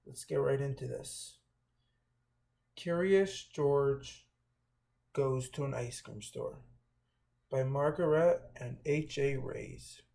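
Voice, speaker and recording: A man hosting a children's read-aloud, reading a storybook with a somewhat halting delivery. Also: medium pitch, vocal fry, dark tone.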